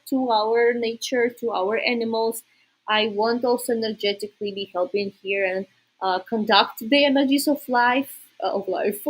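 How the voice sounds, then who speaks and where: A woman with a Russian accent hosts a casual livestream. Her voice sits fairly high and has a husky edge. She speaks informally, addressing her viewers directly. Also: fairly bright tone, rough recording. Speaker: livestream host.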